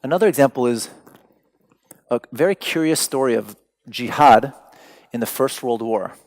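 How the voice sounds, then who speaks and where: Casting note: gravelly-voiced man, native Persian speaker, lecturing with dry humor. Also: medium pitch, moderate pace, darkish tone. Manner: wry, digressive, joking asides. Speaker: artist giving a talk. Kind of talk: lecture to an audience.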